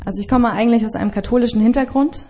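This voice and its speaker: A woman's voice: a little high, racing, dark tone. A woman interpreting a sermon as a church interpreter, giving plain short sentences phrase by phrase after the speaker.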